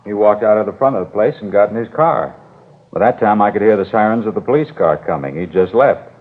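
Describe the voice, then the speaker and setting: An actor playing an earnest, apologetic bank guard with a deferential, plain-spoken manner in a 1950s radio drama. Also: male voice, lowish voice, quick pace, close to an everyday voice. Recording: old 1950s radio recording, narrow tinny band.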